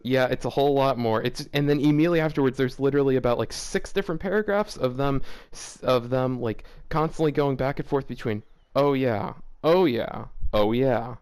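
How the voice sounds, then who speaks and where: A man's voice: mid-range voice, fast, few pauses, darkish tone, smooth. A man casually reading fan fiction aloud and commenting on it, often breaking into incredulous laughter and groans.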